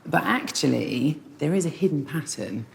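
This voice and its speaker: A woman in her thirties presenting a documentary in clear, measured scripted narration. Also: sits low, animated, a little hiss or hum. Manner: clear, measured, thoughtful delivery.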